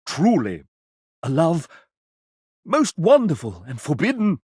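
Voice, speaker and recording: Sassy, wildly swooping game show host. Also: male voice, on the high side, huge pitch swings, takes it slowly, darkish tone, husky, dry recording, clean studio sound, full character voice. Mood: smitten, grand. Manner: grandiloquent, arch, melodramatic. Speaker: voice actor playing a game show host character. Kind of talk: theatrical video game character acting as a game show host.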